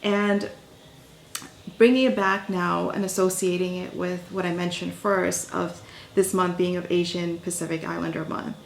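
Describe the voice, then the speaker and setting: A woman from Guyana talks straight to her viewers in a chatty, upbeat monologue. Her voice sits a little low and carries a gravelly fry. The room around her rings with echo. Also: little pitch movement, normal speed, a little hiss or hum. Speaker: host of own channel. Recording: echoey room.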